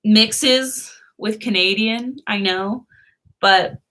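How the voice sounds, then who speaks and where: A woman's voice: medium pitch, extremely slow, pushed and bright. Giggly young woman chatting on a live stream. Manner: chatty, giggly, answers viewers' comments. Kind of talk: casual live stream chat.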